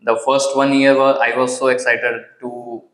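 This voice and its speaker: A man with an Indian accent speaks as a guest in a conversational interview. His voice sits at a medium pitch. The recording is noticeably roomy, with clear echo around the words. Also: level tone, normal speed, fairly bright tone. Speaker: podcast guest, car designer. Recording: roomy, echoing sound.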